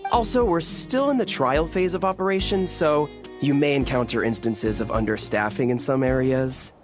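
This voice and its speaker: A man's voice: mid-range voice, normal speed, music in the background, natural voice. A man playing a polite, helpful resort receptionist in a video game, with formal, courteous customer-service patter. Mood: apologetic. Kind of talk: scripted video game dialogue.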